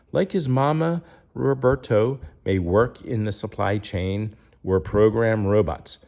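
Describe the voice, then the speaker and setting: Guy reading a rhyming kids picture book out loud, lowish voice, really up and down intonation. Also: vocal fry. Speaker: narrator reading a children's picture book aloud.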